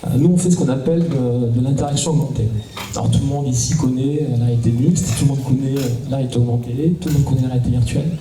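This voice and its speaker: A man's voice: medium pitch, rapid-fire. Man, from southern France, startup cofounder, hesitant explainer, recorded from a distance with faint noise. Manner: explanatory, lots of hesitant fillers. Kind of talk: round-table conversation. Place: conference hall. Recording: echoey hall, distant mic, faint noise.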